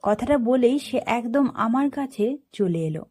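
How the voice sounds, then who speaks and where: A woman storyteller narrating a first-person story in an expressive, dramatic way. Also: fairly high pitch, measured pace, breathy edge.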